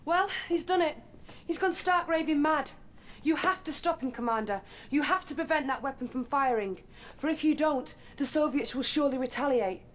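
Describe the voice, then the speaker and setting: A woman's voice: sits high, normal speed, close to an everyday voice. A woman playing a dutiful young female lieutenant in a video game, giving formal, urgent briefings. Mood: grave. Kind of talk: video game cutscene acting. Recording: faint background noise.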